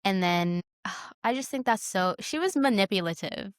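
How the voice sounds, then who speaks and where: A woman's voice: medium pitch, expressive, moderate pace, no echo, clean studio sound. A woman co-hosting a podcast, talking casually about a film in a blunt, slangy, informal manner. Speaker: podcast co-host.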